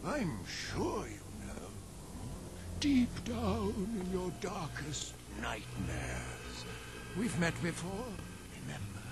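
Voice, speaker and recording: An actor playing a grandiose, scheming monster villain in a video game, with a gravelly, theatrical, sinister delivery. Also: male voice, on the high side, huge pitch swings, slow pace, music in the background, heavily acted. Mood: sinister, gloating. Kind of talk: video game cutscene acting.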